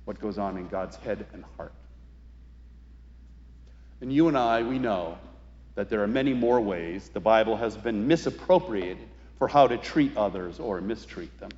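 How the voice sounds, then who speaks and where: Male preacher, medium register, thoughtful and measured sermon with weighted pauses; dull-sounding, reverberant hall recording. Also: medium pitch.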